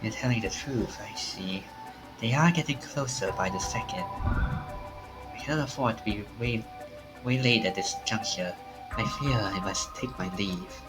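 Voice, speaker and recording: A man voicing a grave, formal man who speaks in a measured, menacingly polite way in a visual novel. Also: on the low side, music in the background, close to an everyday voice, low-quality recording. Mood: grudging, ominous. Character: grave, formal man calmly warning of a deadly curse.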